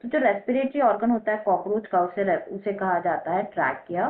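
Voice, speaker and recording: An Indian woman teacher explaining a lesson, repeating key terms slowly as if dictating. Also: medium pitch, lively intonation. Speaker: biology teacher. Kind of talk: explanatory lesson in mixed Hindi and English. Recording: muffled phone-like narrow-band audio.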